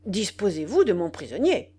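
A woman's voice: medium pitch, sing-song, unhurried, a little mellow. A woman voicing a vengeful, gloating male dwarf sorcerer in an audiobook, with theatrical, commanding emphasis. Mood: indignant, commanding. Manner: theatrical, emphatic, cursing with Spanish words mixed in.

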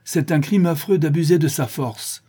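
A man's voice: mid-range voice, expressive, moderate pace, mellow, breathy edge, pitched above the performer's own voice. A man reading a storybook as a stern avenger who gives orders and passes judgement in a solemn, imperious way. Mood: solemn, accusing.

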